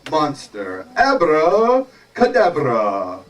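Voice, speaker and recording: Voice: mid-range voice, huge pitch swings, very slow, heavily acted. A performer voicing a boastful but cowardly male wizard in a storybook tale. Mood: pompous. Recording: distant mic in noisy audience room.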